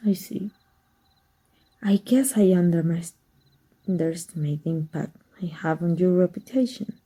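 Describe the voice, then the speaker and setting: A woman playing a husky, secretive dark magic teacher. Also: on the low side. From Mexico. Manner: soft, measured, drops to whispers. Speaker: voice actor. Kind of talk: ASMR roleplay.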